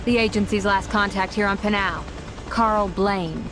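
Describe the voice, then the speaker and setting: A woman playing a brisk, no-nonsense American intelligence agent in a video game cutscene. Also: mid-range voice, steady tone, music in the background, lightly acted. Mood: composed.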